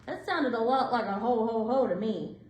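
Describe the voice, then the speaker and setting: A woman's voice: fairly high pitch, moderate pace, a little mellow, lightly acted. A woman voicing an observant, eager schoolboy in a children's storybook, with a pointed way of talking. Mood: knowing. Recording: roomy indoor echo.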